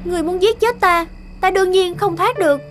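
A woman's voice: very high-pitched, brisk, over music. A woman voicing a brave, earnest young princess in a fairy-tale cartoon, speaking in a formal, resolute way. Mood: gentle, earnest.

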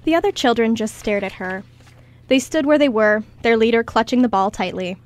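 A woman's voice: fairly high pitch, fairly quick. A woman reading scripted story narration as the narrator of a radio play.

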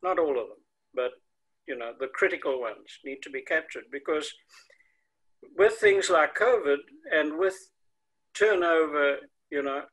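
Older male consultant, South African accent, bright and forceful, slightly high voice, explaining on a video call. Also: breathy edge, rough recording. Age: older, 60s or 70s. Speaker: consultant and coach, interview guest. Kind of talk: conversational explaining in an online interview. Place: home room with windows, indoors. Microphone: earbuds on a video call.

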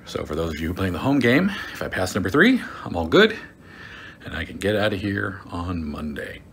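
A man's voice: low voice, very animated, moderate pace, fairly bright tone, a little hiss or hum. A middle-aged man from the United States, recording a wry, chatty video diary on a phone held at arm's length in a hotel room. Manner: chatty, wry, self-mocking storyteller. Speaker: vlogger. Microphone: phone camera at arm's length.

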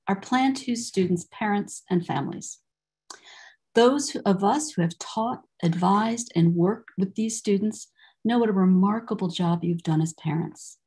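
Older woman, husky, giving formal speech. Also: mid-range voice, darkish tone. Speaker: university program director presiding over a ceremony. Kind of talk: formal prepared commencement address. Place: indoors on a video call.

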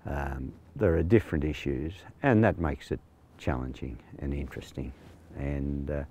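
Deep-voiced older Australian farmer. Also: male voice, normal speed, warm tone, slight background noise. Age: 60s. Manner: plain-spoken, hesitant with many fillers. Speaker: farm manager. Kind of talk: on-location interview. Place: outdoors on a rural farm paddock.

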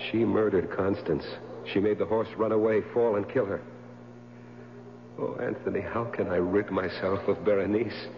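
An actor playing a grief-stricken, obsessive man in a 1970s radio drama, speaking in an overwrought, halting way and repeating his words. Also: lowish voice, steady tone, close to an everyday voice. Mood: frantic, obsessive. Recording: muffled vintage radio broadcast with hum.

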